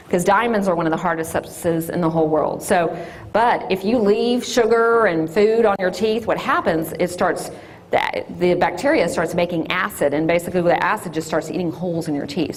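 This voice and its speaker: A woman, an orthodontist giving a loud, lively classroom talk to children. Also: lowish voice. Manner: loud and lively, sing-song call-and-response.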